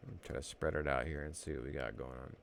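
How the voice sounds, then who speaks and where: A man's voice: rumbling low, fairly even intonation, quick pace, gravelly, slight background noise. A man casually thinking aloud as he walks through trading charts, rambling and correcting himself as he goes. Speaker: trading educator.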